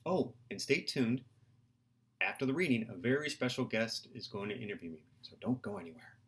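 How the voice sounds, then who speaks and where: A man's voice: lowish voice, expressive, a little fast, breathy edge. A middle-aged man, a children's book author, talking and reading in a storytime style with simple explanations and questions to his listeners.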